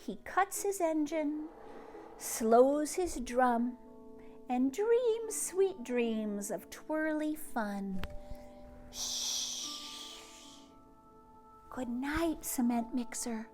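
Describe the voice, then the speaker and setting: A woman reading a children's picture book aloud, expressively, with drawn-out words and hushed asides, speaking directly to young listeners. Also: high voice, expressive, soft background music. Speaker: teacher or read-aloud host reading to children.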